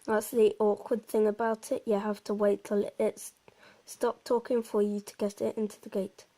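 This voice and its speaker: A woman's voice: medium pitch, little pitch movement, moderate pace, dark tone. A woman giving a casual gameplay commentary, explaining things in an informal, meandering and slightly hesitant way. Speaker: presenter of a game walkthrough.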